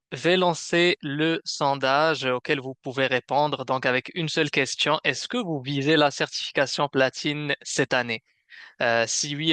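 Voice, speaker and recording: A man hosting a webinar with a semi-scripted presentation, explaining in a measured way with some hesitation. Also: mid-range voice, a bit bright, clear, resonant tone, no echo. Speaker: webinar host and program manager.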